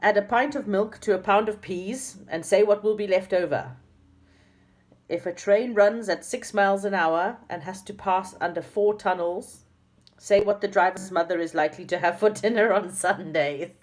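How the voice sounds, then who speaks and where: A woman's voice: medium pitch, normal speed, voice pushed up, natural voice. A woman reading a storybook aloud, voicing a sensible young boy who sounds puzzled and indignant. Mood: baffled.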